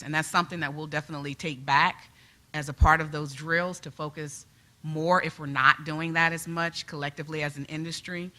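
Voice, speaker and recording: A man on a panel answering briskly and politely. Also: fairly high pitch, pushed and bright. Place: conference hall.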